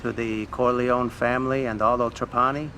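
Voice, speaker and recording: An actor playing a shrewd elderly male crime boss, making measured speeches in a video game. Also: mid-range voice, level tone, pushed and bright, slight background noise. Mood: proud, stately. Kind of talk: video game cutscene acting.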